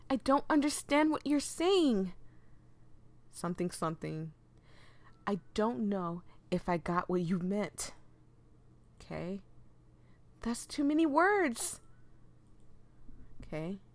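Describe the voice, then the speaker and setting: Slow-paced woman doing casual gaming commentary. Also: mid-range voice, big pitch swings. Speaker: gaming video host. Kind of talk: casual let's play commentary, reading game text aloud.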